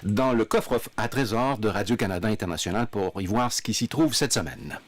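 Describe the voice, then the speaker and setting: A man's voice: on the low side, moderate pace, soft background music. A man hosting a radio panel in the studio, leading the talk with figures and questions and teasing his guests. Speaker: radio show host.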